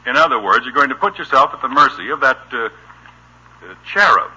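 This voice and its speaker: A man's voice: medium pitch, expressive, fairly quick, pushed and bright, husky, heavily acted. An actor playing an exasperated, sardonic suburban father in a 1950s radio sitcom, dry and blustering. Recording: noisy old radio recording.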